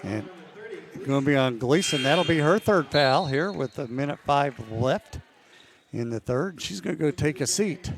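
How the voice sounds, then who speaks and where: A man calling a women's college basketball game play-by-play, losing his voice and clearing his throat now and then. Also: a little high, a crowd in the background. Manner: steady, measured calls that rise on big plays. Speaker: play-by-play announcer.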